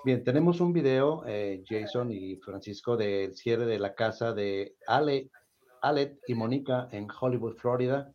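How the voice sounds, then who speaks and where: A man's voice: mid-range voice, unhurried, a little mellow, dull audio. A man from Mexico with a Mexican accent hosting a podcast, explaining things casually and repeating himself with a frequent "¿verdad?". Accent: Mexican Spanish. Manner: repeats words, adds "¿verdad?" often. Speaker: podcast host.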